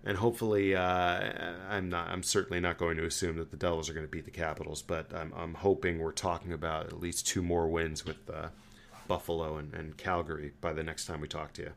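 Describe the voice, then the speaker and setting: A man's voice: deep voice, little pitch movement, fairly quick, gravelly. A man hosting a sports podcast in casual, conversational chat about hockey.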